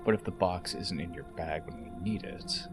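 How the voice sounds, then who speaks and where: An actor playing a smug, menacing blackmailer in an audio drama, controlled and threatening. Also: male voice, low-pitched, moderate pace, natural voice. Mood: quietly threatening. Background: prominent music.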